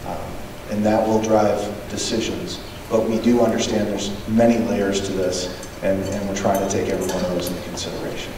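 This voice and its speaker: Brief: male superintendent, measured and diplomatic, lowish voice, far from the mic in a reverberant hall. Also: little pitch movement. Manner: measured, diplomatic, repeats words when starting. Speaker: school superintendent. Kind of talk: answering questions at a public meeting. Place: large meeting hall. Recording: echoey hall, distant mic, background noise.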